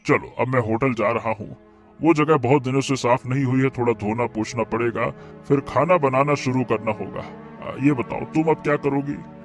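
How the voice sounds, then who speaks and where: A man's voice: medium pitch, normal speed, soft background music, natural voice. A man voicing a remorseful village hotel owner in a cartoon story, talking emotionally and pleadingly. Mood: cheerful.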